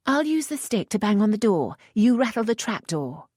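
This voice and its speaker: A woman narrator voicing an impetuous, sharp-tongued young lady in a Regency audiobook, in a raised, bright voice. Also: mid-range voice, big pitch swings, moderate pace, no echo, clean studio sound, voice pushed up. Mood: eager.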